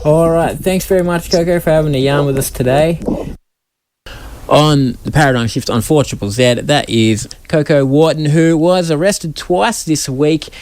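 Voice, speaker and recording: A man hosting a community radio interview, relaxed and hesitant, with many fillers. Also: fairly high pitch, moderate pace. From Australia. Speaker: radio show host.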